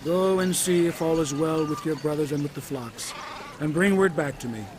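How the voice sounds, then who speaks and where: A man's voice: a little high, moderate pace, background noise, close to an everyday voice. A man playing a stern, elderly patriarch and father in an audio Bible drama, speaking with authority. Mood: instructing. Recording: clear outdoor background with bleating sheep.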